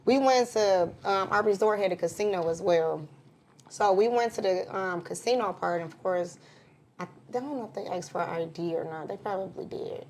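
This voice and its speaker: A woman's voice: medium pitch, normal speed, slight background noise. A woman co-hosting a podcast, telling a casual story and acting out other people's words. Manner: animated storyteller, acts out quotes. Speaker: podcast co-host.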